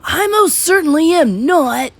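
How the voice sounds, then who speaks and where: A woman voicing a loudmouthed, greedy young boy turned mouse, blustering and petulant, in a storybook reading. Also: very high-pitched, animated, unhurried, no echo, studio quality, voice pushed up, heavily acted. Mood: indignant.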